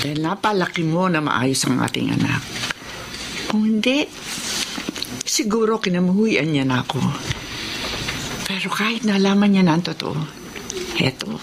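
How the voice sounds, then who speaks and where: A woman's voice: lowish voice, gravelly. A woman playing a grateful, repentant middle-aged mother in a radio drama, earnest and sentimental. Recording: noisy ambience behind the voice.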